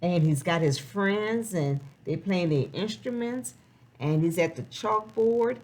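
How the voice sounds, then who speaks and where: Slow, very animated woman reading to children. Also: fairly low pitch. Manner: expressive, sing-song, with rhetorical questions. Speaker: children's storybook reader. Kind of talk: animated read-aloud for young children.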